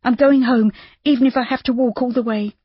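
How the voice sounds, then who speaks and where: Narrator playing a scared, headstrong young heroine, husky, muffled audio. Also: female voice, steady tone, a little fast, dry recording. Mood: panicked. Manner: urgent, higher and tense. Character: frightened, headstrong young woman. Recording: dull muffled audiobook recording.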